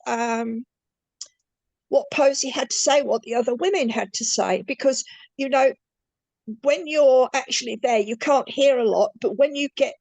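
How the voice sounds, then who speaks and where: An older woman, telling her story as a guest in a halting way that repeats words. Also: high-pitched, creaky, a little mellow, dry recording, low-quality recording. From New Zealand. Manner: slow, halting, repeats words as she goes. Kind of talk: conversational interview.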